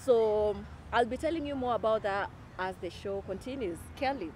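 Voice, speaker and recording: A woman's voice: a little high, moderate pace, a little mellow, slight background noise. A young Kenyan woman hosting a casual outdoor interview, mixing English with Swahili slang. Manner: chatty, mixes English with Swahili slang, laughs often. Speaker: talk show host. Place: outdoor club lawn.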